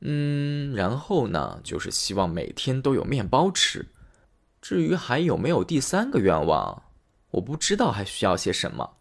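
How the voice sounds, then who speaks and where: A humble, kind-hearted poor man from a fairy tale, speaking quietly and with gentle hesitation, as a male storyteller voices him. His voice is soft and a little lower than the narration around it. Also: wildly swooping pitch, normal speed, creaky, full character voice.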